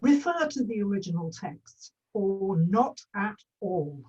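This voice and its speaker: A woman's voice: medium pitch, animated, unhurried, darkish tone, husky, muffled recording. A woman acting a haughty, pedantic bookworm in a comedy script read, speaking in a prim, pompous, precise manner. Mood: disdainful. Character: haughty, pedantic bookish woman, disdainful purist.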